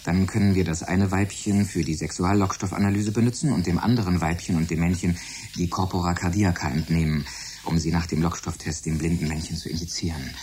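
An actor playing an eccentric, fussy, polite laboratory scientist in a German radio drama, absorbed and precise. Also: male voice, deep voice, steady tone, brisk, a little mellow, a little hiss or hum, natural voice. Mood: businesslike.

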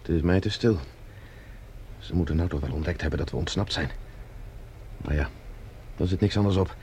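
An actor playing a resolute, protective escaped prisoner in a science fiction radio drama, speaking in terse, reassuring lines. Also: male voice, low-pitched, normal speed, a little mellow. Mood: tense, focused. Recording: noisy scene with background ambience.

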